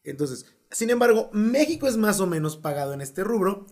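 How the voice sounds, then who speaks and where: A Mexican man co-hosting a podcast, explaining things in an animated, slangy casual chat. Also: high-pitched, normal speed. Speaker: podcast co-host.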